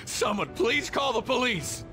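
An actor playing a disgraced, remorseful middle-aged gym teacher in a dramatic video game. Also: male voice, extremely high pitch, sing-song, a little slow, music in the background, heavily acted. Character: disgraced male gym teacher, contrite and broken.

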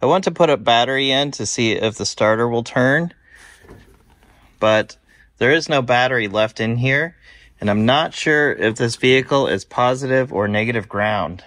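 Calm, methodical man in a workshop. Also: medium pitch, moderate pace. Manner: calm, methodical, matter-of-fact. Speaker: car restoration video host. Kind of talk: explanatory workshop walkthrough. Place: large garage workshop, indoors.

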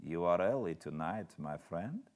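A man voicing a strange, commanding coach driver from a gothic storybook, courteous yet sinister, speaking with deliberate care. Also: sits low, animated. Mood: menacing, knowing.

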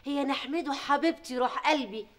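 A woman's voice: high-pitched, brisk, close to an everyday voice. A woman playing an outspoken older Egyptian mother-in-law. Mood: teasing. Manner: outspoken, teasing.

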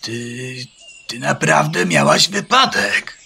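A pompous, skeptical farmyard bird in a children's audio play. The voice is gravelly and sharp, drawn out with fussy dryness, over faint noise. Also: male voice, medium pitch, wildly swooping pitch, slow, exaggerated voice. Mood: startled.

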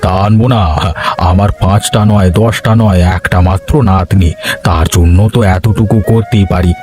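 A man's voice: deep voice, steady tone, moderate pace, darkish tone, faint music behind, close to an everyday voice. A man voicing a genial elderly village grandfather in a cartoon, hearty and fond. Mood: fond, cheerful. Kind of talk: animated cartoon dialogue.

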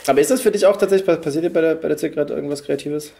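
A man's voice: fairly high pitch, racing, vocal fry, mellow. A man hosting a podcast, chatting casually with his guest and asking questions. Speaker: podcast host, interviewer. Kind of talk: casual chat.

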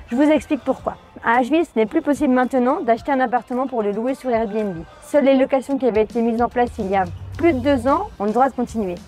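A woman's voice: on the high side, a little mellow. A woman in her thirties from France, a family travel vlogger, chatting straight to camera in a backyard and explaining things to her viewers. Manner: friendly, explanatory, talks to viewers directly. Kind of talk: casual chat to camera. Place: outdoors in a grassy backyard. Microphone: medium shot from a camera on a tripod.

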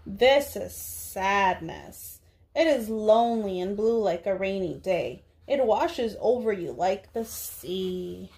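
A young woman, a preschool teacher, speaking to small children in a deliberate read-aloud style with drawn-out words. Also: medium pitch, animated, creaky, darkish tone. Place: small indoor room.

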